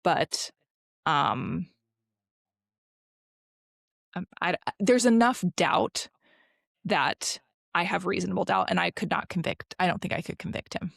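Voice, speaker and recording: Very creaky, low-pitched woman, casual. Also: no room sound, studio quality. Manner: halting, filler-heavy, slangy conversational delivery. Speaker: podcast co-host. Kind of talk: casual podcast chat.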